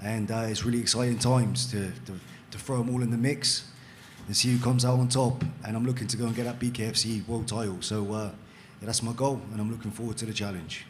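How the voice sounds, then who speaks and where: A man's voice: fairly low pitch, steady tone, a little fast, a little hiss or hum. A man, a boxer, introducing himself at a press conference, upbeat and confident.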